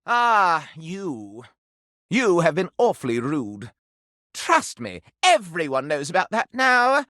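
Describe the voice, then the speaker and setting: A living silver candelabra from a video game, vain and hungry for gossip. The voice is bright and piercing, leaping wildly up and down with theatrical melodrama. Every word is florid and over-enunciated with snobbish aristocratic airs, recorded close and dry. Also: male voice, fairly high pitch, huge pitch swings, slow, no echo, full character voice. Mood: gossipy, haughty.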